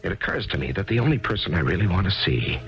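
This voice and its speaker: Brief: persuasive, searching widower, very deep gravelly voice, muffled old broadcast with music beneath. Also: male voice, sing-song, fast talker. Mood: reflective. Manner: intimate, coaxing, reflective narration. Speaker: narrator and lead. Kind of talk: 1970s radio drama acting with first-person narration. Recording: muffled 1970s radio broadcast with music underneath.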